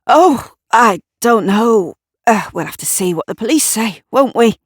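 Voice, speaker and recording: A woman's voice: fairly high pitch, lively intonation, a little slow, breathy edge, dry recording, studio quality, pitched above the performer's own voice. A woman voicing a flustered, sheepish male neighbour in an audio drama, hesitant and easily indignant.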